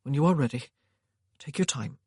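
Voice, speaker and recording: A narrator voicing an English gentleman who speaks calmly and reassuringly to strangers. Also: medium pitch, normal speed, husky, no echo, clean studio sound, close to an everyday voice.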